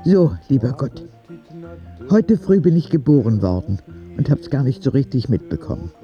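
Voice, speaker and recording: A man's voice: mid-range voice, big pitch swings, normal speed, dull audio, faint music behind, natural voice. A man narrating an audio drama as a candid, vulnerable ten-year-old sick boy who confides in a naive, plain way. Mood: confiding, earnest.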